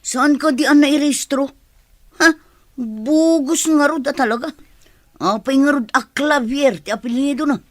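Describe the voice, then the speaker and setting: A woman's voice: high voice, measured pace, dry recording, clean studio sound. An actress playing a pushy, money-hungry village woman who schemes, in a radio drama.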